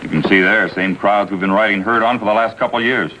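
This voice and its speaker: Male actor, deep American voice; brisk, factual juvenile officer, quick clipped delivery, vintage TV police drama. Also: fairly even intonation, muffled recording, close to an everyday voice. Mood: businesslike. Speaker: supporting actor. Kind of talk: 1950s TV police drama acting. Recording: faintly noisy vintage TV soundtrack.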